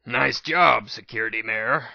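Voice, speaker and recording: A man's voice: measured pace, creaky, pushed and bright, no echo, highs cut off, exaggerated voice. Dry, sardonic male pony companion read by a man. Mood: dry needling. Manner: dry, casual, low-key. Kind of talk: dramatic audiobook reading.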